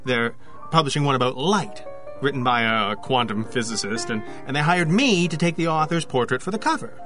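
An actor playing an awkward, earnest photographer in an audio drama, speaking in halting, hesitant phrases. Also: male voice, mid-range voice, animated, a bit bright, soft background music, close to an everyday voice. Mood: uneasy, hesitant. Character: awkward, earnest freelance photographer confiding a strange story.

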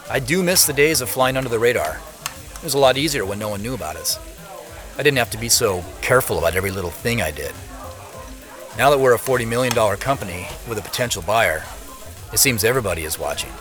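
An actor playing a busy, self-deprecating young tech CEO in a modern audio drama, talking in a casual, wry way. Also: male voice, medium pitch, lively intonation, fairly quick, pauses often, music in the background, close to an everyday voice. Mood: defensive, joking.

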